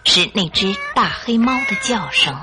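A woman's voice: mid-range voice, expressive, normal speed, music in the background. A woman narrating a Mandarin radio drama as a dramatic storyteller.

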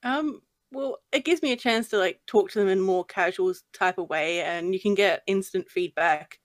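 A woman with a slight lisp and a fairly deep voice, chatting hesitantly as a podcast guest. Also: normal speed, dry recording, studio quality. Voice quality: voice on the deeper end for a woman. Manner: soft-spoken, hesitant with frequent ums. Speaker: guest narrator. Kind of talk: casual podcast chat.

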